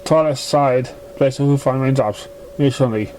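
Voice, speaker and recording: Man reading aloud haltingly over noise. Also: mid-range voice, a little mellow, rough recording. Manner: halting delivery with stumbles over words. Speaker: amateur fan fiction reader. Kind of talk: reading a story aloud, acting out dialogue.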